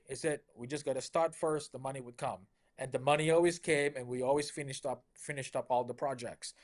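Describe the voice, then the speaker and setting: A middle-aged man from Malaysia tells stories in a relaxed, upbeat interview manner. He leans on "you know" and keeps the anecdotes rolling in a mid-range voice. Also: medium pitch. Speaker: car dealership owner, guest. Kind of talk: conversational interview storytelling.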